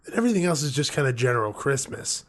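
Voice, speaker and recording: A man co-hosting a podcast with a hoarse, gruff voice, chatting casually and joking around. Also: mid-range voice, sing-song, fast. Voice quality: gruff, rough. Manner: joking, rambling, laughs often. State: hoarse, losing his voice. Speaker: podcast co-host. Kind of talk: casual chat.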